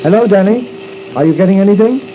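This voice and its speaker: A man's voice: high-pitched, animated, brisk. An actor playing an anxious, fatherly scientist in 1940s radio style, fussy and given to repeating his words. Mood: alarmed urgency. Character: anxious, devoted scientist doctor, fatherly helper. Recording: tinny, noisy 1940s radio broadcast.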